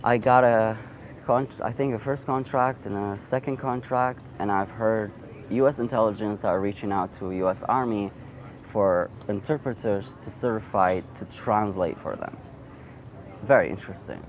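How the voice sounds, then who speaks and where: Low-voiced man. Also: slight background noise. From Iraq. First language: Assyrian. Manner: halting, with frequent restarts and repeated words. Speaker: interviewee. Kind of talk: personal storytelling.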